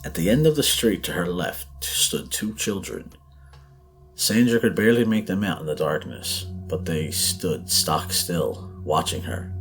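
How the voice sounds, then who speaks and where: A man with a very low voice reads a scary story as a podcast narrator. His delivery is measured and theatrical, built for suspense, with music playing behind him. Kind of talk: dramatic scripted horror story narration.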